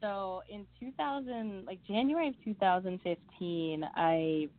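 A woman's voice: medium pitch, a little slow. A young woman from the Midwest, an author being interviewed, talking in a chatty way with frequent laughs and fillers. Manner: chatty, laughs often, many fillers and restarts. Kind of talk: casual interview chat. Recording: phone-like narrow sound.

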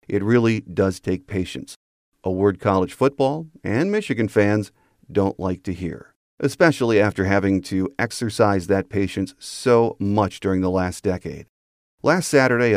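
A man's voice: lowish voice, a little mellow, no room sound, studio quality. A man hosting a sports podcast, delivering a scripted commentary monologue. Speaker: podcast host.

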